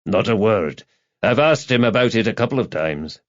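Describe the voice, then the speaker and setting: A man voicing a guarded, reluctant confidant, measured and discreet in a matter-of-fact way. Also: on the low side, lively intonation, dry recording, highs cut off, close to an everyday voice. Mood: confiding.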